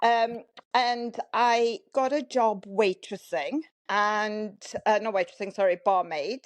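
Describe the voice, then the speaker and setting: A woman's voice: medium pitch, takes it slowly, no room sound. A woman in her fifties from South Africa, with a South African accent carrying a Welsh twang, telling her story chattily as a podcast guest. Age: 50s. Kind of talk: casual interview chat.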